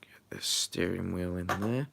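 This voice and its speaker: A man's voice: deep voice, a little slow, vocal fry, noisy background. A man chatting casually on a live stream while building, rambling with lots of fillers and muttering to himself, a little stuffy from a runny nose.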